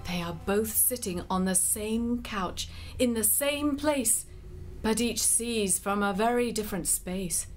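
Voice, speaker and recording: A woman giving measured, scripted storytelling narration as part of a dramatic play. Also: on the high side. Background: music.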